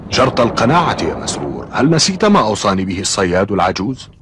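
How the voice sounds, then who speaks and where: A deep-voiced, stern cartoon father, anxious and firm. He speaks quickly in formal diction, with very lively intonation, over faint noise. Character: stern, anxious middle-aged father searching for his son. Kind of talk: Arabic cartoon dub acting.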